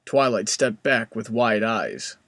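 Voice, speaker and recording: A man's voice: fairly low pitch, very animated, slow, a bit bright, no room sound, studio quality. A man reading scripted story narration as the narrator.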